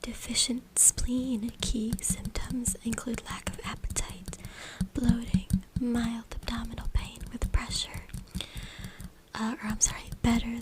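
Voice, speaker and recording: A woman reads aloud in a breathy, unvoiced whisper in ASMR style, close to the microphone, with brief informal asides. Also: fairly high pitch. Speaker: ASMR creator. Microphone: close USB microphone. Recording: loud background noise, tapping and clicking. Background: tapping, scratching and clicks.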